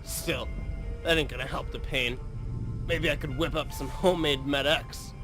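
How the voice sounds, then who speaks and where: A man's voice: on the high side, fairly even intonation, a little slow, music in the background, close to an everyday voice. A man voicing a foul-mouthed, bitter, injured young athlete in a video game. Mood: bitter, grudging. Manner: profane, aggrieved, blunt.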